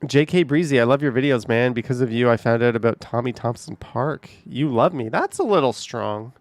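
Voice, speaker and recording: A man's voice: mid-range voice, fairly quick, no room sound. A man hosting a casual livestream from home, chatting loosely with his viewers in a rambling, easygoing way. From Canada. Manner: rambling, chatty, laughs easily. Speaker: livestream host reading chat.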